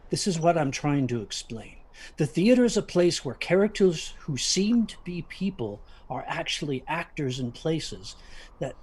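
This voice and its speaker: A man playing an uneasy parent in an audio drama, speaking in a halting, ominous, husky way that drops toward whispers. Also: a little high, darkish tone. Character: uneasy parent warning children about haunted theaters.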